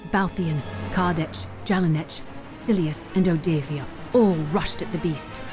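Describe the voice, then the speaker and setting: A woman's voice: lowish voice, measured pace, lightly acted. A woman playing the storyteller of a fantasy audio drama, narrating a heroic tale in a grave, dramatic scripted style. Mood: grave, suspenseful. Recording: music bed under the voice. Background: prominent dramatic music.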